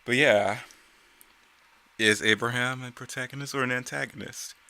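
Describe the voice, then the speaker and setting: Bright-voiced man in casual, rambling commentary. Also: medium pitch, fairly even intonation, normal speed. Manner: self-critical, conversational, rambling. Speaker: creator commenting on own comic series.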